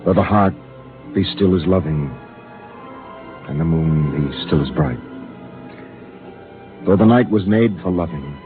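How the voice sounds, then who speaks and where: An actor playing a stern but torn ship captain with a firm, controlled delivery, in a 1950s radio drama. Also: male voice, sits low, measured pace, pauses often. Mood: solemn. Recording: tinny 1950s radio transcription with music.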